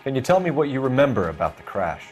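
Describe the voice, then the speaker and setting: An actor playing an earnest male police detective who questions people in a firm, measured way. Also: mid-range voice, sing-song, a little mellow, soft background music, natural voice. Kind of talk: video game voice acting.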